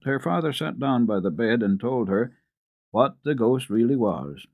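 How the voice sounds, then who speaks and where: A man narrating a children's classic as a radio storyteller, in a measured, expressive, formal reading. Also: medium pitch, no echo, studio quality.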